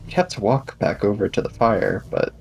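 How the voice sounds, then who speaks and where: A man's voice: lowish voice, sing-song, moderate pace, creaky, darkish tone, low-quality recording. A man improvising casually in character as a player in a tabletop roleplaying game. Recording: music bed behind the voice.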